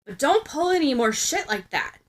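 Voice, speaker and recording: A woman voicing a gruff, hot-tempered, scowling teenage boy in a story, barking and snapping curtly. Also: high voice, sing-song, moderate pace, voice pushed up. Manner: barking, snapping, curt and sweary.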